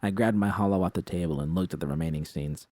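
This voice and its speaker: A man narrates first-person fiction in a low, dark voice. It is a scripted audiobook read with a close, dry sound and no room around it. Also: fast, dry recording.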